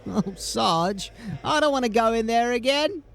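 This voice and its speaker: A man voices a meek young corporal who drags out his words with reluctance. His voice is high for a man. His speech is slow and hesitant in an audio drama. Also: lively intonation, gravelly, fairly bright tone, heavily acted. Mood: meek, reluctant.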